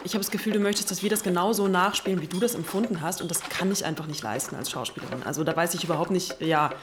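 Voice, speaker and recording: Actress doing a frustrated woman in a radio play, lowish voice, earnest complaining, kinda hesitant. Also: quick pace, background noise, natural voice. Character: frustrated actress confronting her director, earnest and stressed.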